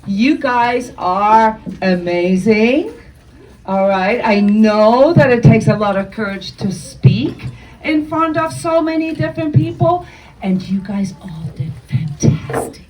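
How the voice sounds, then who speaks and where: A woman's voice: on the high side, lively intonation, takes it slowly, darkish tone, slight background noise. A woman speaking as a teacher and host to a live audience of students, with emphatic, encouraging praise. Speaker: teacher hosting a student event. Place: indoor school event, audience present. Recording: distant mic in room with audience.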